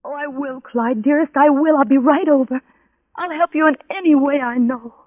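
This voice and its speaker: An actress playing a cold, possessive young schemer with false sweetness and slow, deliberate delivery in 1940s radio suspense style. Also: female voice, high-pitched, phone-quality sound, natural voice. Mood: feigned tender sympathy. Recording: band-limited old-time radio recording.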